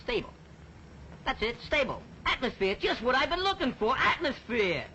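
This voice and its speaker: A high, bright voice that swings widely in pitch: a pugnacious young New York street tough in a 1940s picture. He talks in slang and bristles easily. The old film soundtrack is muffled and hissy. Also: sing-song, moderate pace, full character voice. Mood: excited. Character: tough, touchy young street-gang man dreaming of being a jockey.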